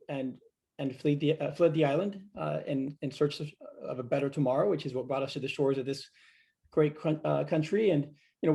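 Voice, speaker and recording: A middle-aged man from Cuba, telling his life story in a reflective, measured way as the guest of a video-call fireside chat. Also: on the high side, creaky, mellow. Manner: reflective, measured, with frequent fillers. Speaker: guest speaker.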